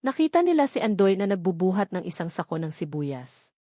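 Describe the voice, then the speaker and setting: A woman's voice: fairly low pitch, big pitch swings, fairly quick, mellow, no echo, studio quality. A woman narrating a scripted dramatic love story.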